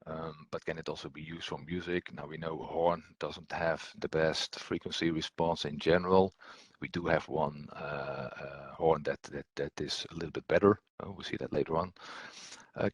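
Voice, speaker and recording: A man presenting technical training as an instructor, explaining step by step in a hesitant, halting way with frequent ums and repeated words, his voice husky. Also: rumbling low, no room sound.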